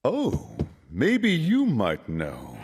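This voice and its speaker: An actor playing a mock-polite, gleefully macabre haunted-house guide in a horror game, with drawn-out theatrical narration. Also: male voice, mid-range voice, huge pitch swings, exaggerated voice. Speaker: attraction announcer. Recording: long hall reverb, faint noise.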